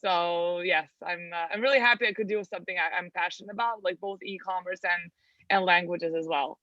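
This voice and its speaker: A woman's voice: lowish voice, steady tone, a little fast, bright, forceful tone, dry recording. Woman, dull dry sound. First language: Serbian. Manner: filler-heavy, lots of "uh" and "like". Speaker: guest. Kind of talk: casual interview chat.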